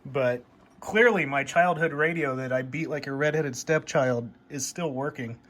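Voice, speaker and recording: A man's voice: mid-range voice, normal speed, a bit bright. A middle-aged American man chatting casually and nostalgically, in a rambling show-and-tell style. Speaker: hobbyist vlogger showing a CB radio.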